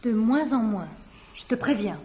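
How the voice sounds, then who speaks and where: An actress playing a scornful wife with biting, theatrical delivery in a radio mystery. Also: female voice, fairly high pitch, expressive, measured pace, dark tone, slight background noise. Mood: cool, scornful. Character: scornful wife, a stage actress sparring with her husband. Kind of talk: 1950s-style French radio mystery acting.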